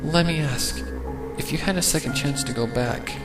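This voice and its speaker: Man voicing an innocent young girl pegasus, pitched up. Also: normal speed, reverberant, loud music behind the voice, lightly acted. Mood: earnest. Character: innocent young female Pegasus pony, earnest and hopeful.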